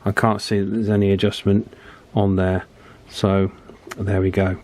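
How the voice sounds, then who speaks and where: A man with a fairly low voice hosts a hands-on repair video. He talks casually and without a script as he works, chatting to the viewer and often tacking little tag questions onto his remarks. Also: little pitch movement, normal speed, darkish tone. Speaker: host of an electronics repair channel. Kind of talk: casual hands-on repair commentary, talking while working.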